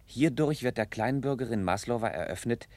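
An actor playing a formal, discreet tsarist prison official in a German radio play, speaking in a measured way. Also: male voice, medium pitch, expressive, darkish tone, no room sound, close to an everyday voice. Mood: official, composed.

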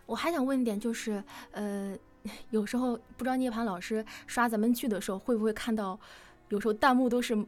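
A woman's voice: a little high, steady tone, brisk, soft background music. A woman hosting a casual interview, asking questions in a conversational way. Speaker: host.

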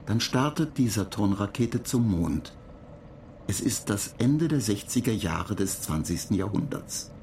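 A man's voice: lowish voice, animated, unhurried, vocal fry, darkish tone, a little hiss or hum. A man playing a dutiful data-agent machine that lectures in a matter-of-fact, pedantic way in a radio play. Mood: instructive. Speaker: narrator.

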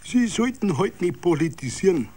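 A man's voice: high-pitched, sing-song, moderate pace, mellow, breathy edge, pitched above the performer's own voice, full character voice. A man playing a mild, old-fashioned Bavarian tavern regular who complains plaintively and tries to keep the peace. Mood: conciliatory.

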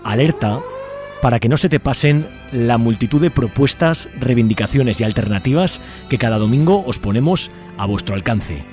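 A man's voice: mid-range voice, expressive, moderate pace, a little mellow. Chatty Aragonese man hosting radio over music. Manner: chatty, colloquial, addresses listeners and guests directly. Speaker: radio show host. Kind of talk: informal live community radio hosting. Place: radio studio. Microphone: studio microphone. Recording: music playing behind the voice.

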